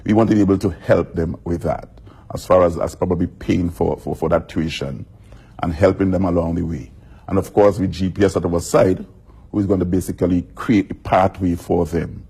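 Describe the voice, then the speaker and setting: Gravelly, raspy-voiced league president. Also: male voice, low-pitched, steady tone, warm tone. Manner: formal, measured, repeats words when restarting. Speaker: school football league president. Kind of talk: live TV interview.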